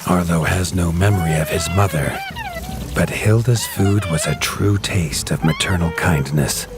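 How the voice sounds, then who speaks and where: A man with a very deep, low voice tells the tale as the narrator of a storybook game. He keeps a grave, measured storyteller's pace. The studio voice-over sounds clean. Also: over music.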